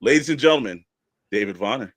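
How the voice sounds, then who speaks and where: A man's voice: medium pitch, expressive, fast talker, a crowd in the background. A man co-hosting a casual live show, hyping up guests in a folksy, effusive way and repeating phrases for emphasis. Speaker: podcast co-host.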